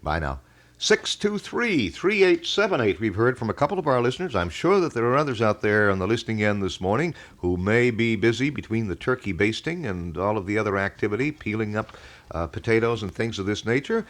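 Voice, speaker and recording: A man's voice: fairly low pitch, very animated, a little fast, fairly bright tone. A man hosting a call-in show in casual, wordy, folksy announcer style, as on a 1980 local radio broadcast. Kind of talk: casual live radio chat. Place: radio studio.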